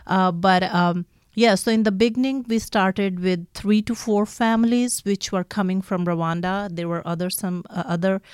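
A woman from Pakistan with a Pakistani accent, explaining her nonprofit work in an interview in a hesitant way with frequent pauses. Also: medium pitch, a little mellow, clear, resonant tone, dry recording, studio quality. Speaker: nonprofit staff member being interviewed.

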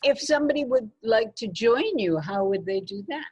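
A woman's voice: on the high side, animated, normal speed, darkish tone, breathy edge, no echo. A woman hosting a radio magazine interview, asking friendly, curious questions.

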